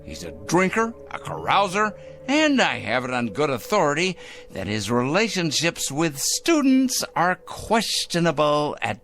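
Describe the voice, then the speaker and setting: An actor playing a fanatical, self-righteous male vigilante in a radio drama, swinging from hushed murmurs to shrill outbursts. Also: exaggerated voice. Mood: indignant. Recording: faint music score behind.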